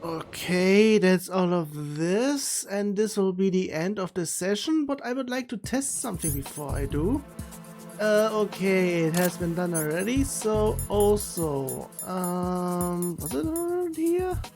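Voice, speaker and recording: A woman giving casual gameplay commentary, hesitant and halting, as if thinking aloud to herself. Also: fairly low pitch, lively intonation, a little mellow, faint music behind. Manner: hesitant, halting, muttering to self. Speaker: game streamer.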